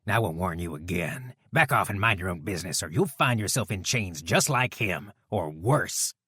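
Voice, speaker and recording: A man's voice: sits low, lively intonation, normal speed, pushed and bright, breathy edge, no echo, clean studio sound, heavily acted. A man voicing a hostile railroad security guard who snarls his threats. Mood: menacing.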